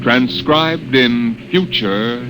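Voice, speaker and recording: A man's voice: mid-range voice, noisy background. An American man announcing a 1950s radio series in a dramatic, declamatory style. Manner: declamatory, deliberate. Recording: old radio broadcast under loud music.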